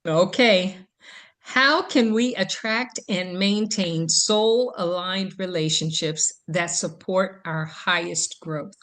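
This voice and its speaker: A woman co-hosting a podcast, speaking thoughtfully and hesitantly with frequent pauses. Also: lowish voice, fairly bright tone. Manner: slow, thoughtful, hesitant with many ums. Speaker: podcast co-host. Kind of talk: casual chat.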